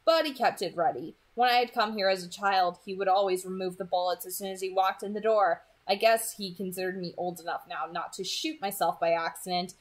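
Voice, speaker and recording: A woman's voice: mid-range voice, steady tone, a little fast, few pauses. A woman reading a first-person story aloud as its narrator.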